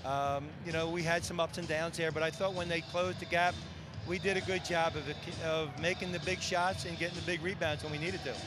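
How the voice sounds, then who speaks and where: An older man, a head coach, giving halting postgame answers full of fillers on the arena floor. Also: on the high side, fairly even intonation. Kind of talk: postgame interview.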